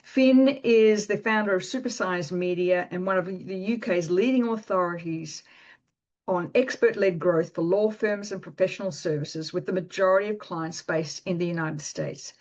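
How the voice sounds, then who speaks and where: Lower-voiced woman host, upbeat and chatty, muffled recording. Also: moderate pace, a little mellow. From Canada. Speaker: podcast host. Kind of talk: podcast interview.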